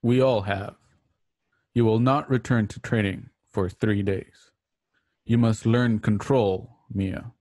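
A man's voice: lowish voice, fairly even intonation, takes it slowly, darkish tone, no room sound, clean studio sound. A man playing a judging mentor of fighters in a radio drama, formal and disapproving. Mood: stern.

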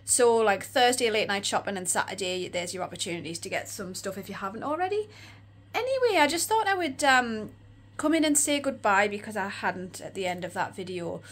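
A woman in her forties with an Australian accent, chatting and rambling in a casual vlog, her voice croaky and tired. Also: mid-range voice, expressive, fairly quick. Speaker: vlogger. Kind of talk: casual shopping vlog chat. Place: room with neon lights, indoors.

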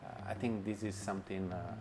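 A man with a lowish voice answers interview questions slowly and haltingly. He restarts often and fills his pauses with "uh". Clear background music sits under his voice in a bright indoor room. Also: fairly even intonation. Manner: hesitant, many fillers and restarts, non-native grammar. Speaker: restaurant owner and chef.

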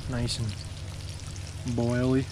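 A man's voice: mid-range voice, completely flat delivery, slow pace, heavy background noise. Young guy narrating an outdoor cook. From Canada. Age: young adult. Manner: easygoing, self-narrating while he works. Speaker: fishing vlogger. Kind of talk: casual outdoor cooking vlog. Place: outdoors at a lakeside parking area. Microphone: action camera, sometimes handheld at arm's length.

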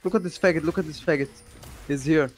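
Young male gamer on headset chat; giggly, needling and excitable. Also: on the high side, fast, a little hiss or hum. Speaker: gamer streaming his match. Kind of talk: casual voice chat while gaming.

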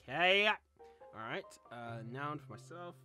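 Animated, unhurried host, giggly. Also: mid-range voice, clean tone, faint music behind. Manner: giggly, exclaims and banters. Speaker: host of a word game. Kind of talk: casual joking chat.

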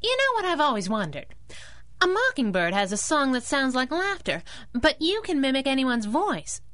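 A woman's voice: high-pitched, big pitch swings, moderate pace, fairly bright tone, no room sound. An actress playing a sassy, slangy young female masked crimefighter in a 1930s-style radio drama. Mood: tough, needling. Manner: sassy, slangy, tough-talking.

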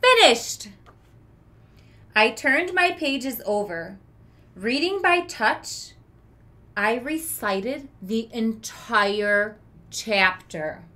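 A woman in her thirties reads a children's book aloud in a bright, fairly high voice. Her pace is very unhurried, with emphatic, dramatic stresses. She moves between storytelling and explaining like a teacher. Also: expressive. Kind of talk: expressive children's read-aloud with explanatory asides. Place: small room with children's book shelves.

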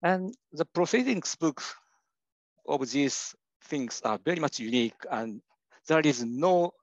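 An older man with a Japanese accent, a professor giving a slide lecture in English, halting often with "uh" and restarting his sentences. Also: fairly high pitch, lively intonation, no room sound. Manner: hesitant, many "uh" fillers and restarts. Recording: dull lo-fi dry recording.

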